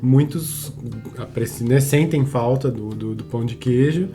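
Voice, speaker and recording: A man co-hosting a casual podcast, talking haltingly with frequent restarts and tag questions. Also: medium pitch, mellow, faint music behind. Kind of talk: casual conversational podcast chat.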